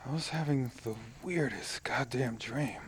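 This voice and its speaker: A man acts a sleepy, easygoing American high school boy with a crude streak. The voice is medium in pitch and mumbly, set against faint background ambience. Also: a little slow, a bit bright, slight background noise, natural voice. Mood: drowsy, laid-back. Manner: drowsy, slangy, mumbling. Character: groggy, laid-back foul-mouthed American teenage boy.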